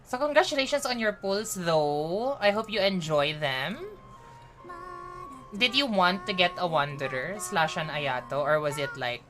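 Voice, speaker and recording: A woman's voice: fairly low pitch, expressive, measured pace, fairly bright tone, soft background music. A woman, chatting casually on a game live stream, upbeat, mixing in Tagalog and laughing often. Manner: chatty and upbeat, mixes English with Tagalog, laughs often, greets chat by name.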